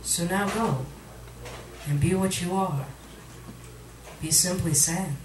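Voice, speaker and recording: A woman reciting poetry at a live reading, with dramatic, deliberate phrasing. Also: on the low side. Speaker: poet, featured reader. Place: literary cafe, indoors, live reading. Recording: echoey room recording from far off, audience noise. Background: cafe audience sounds.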